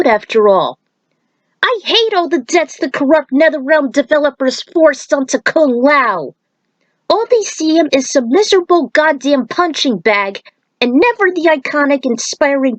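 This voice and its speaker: A woman's voice: mid-range voice, no echo, rough recording. A woman reading out her own opinionated commentary in an emphatic, blunt manner into a close mic. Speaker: fan fiction writer and narrator. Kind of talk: reading out author's notes with opinionated rants.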